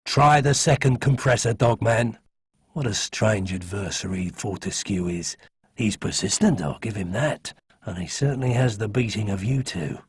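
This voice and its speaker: A sneering villain mastermind. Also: male voice, on the low side, expressive, moderate pace, breathy edge. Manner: sneering, theatrical ringside announcing. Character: scheming male villain mastermind, scornful and grand.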